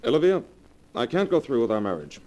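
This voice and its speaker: An actor playing an ardent but evasive young suitor, urgent and dramatic, in a 1940s radio drama. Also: male voice, medium pitch, big pitch swings, fairly quick, dark tone, muffled recording, natural voice. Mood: firm, urgent. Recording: faintly noisy 1940s radio recording.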